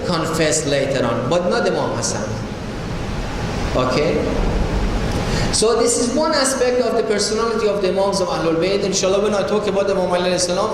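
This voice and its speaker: A man giving a religious lecture, telling stories and putting rhetorical questions to his listeners. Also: high voice. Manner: rhetorical questions to the audience, storytelling. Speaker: Islamic preacher. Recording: echoey hall with noise.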